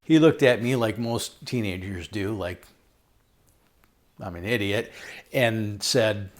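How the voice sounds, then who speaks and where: A middle-aged American man with a lowish voice presents a product review. He talks in a casual, folksy manner, dropping jokey slang and slipping into storytelling as he explains things. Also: takes it slowly. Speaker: host of a product review video.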